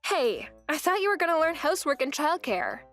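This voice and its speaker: A woman's voice: high-pitched, very animated, brisk, fairly bright tone, soft background music. A young woman narrating her own story as a wife in a manga dub, speaking in an earnest, weary way.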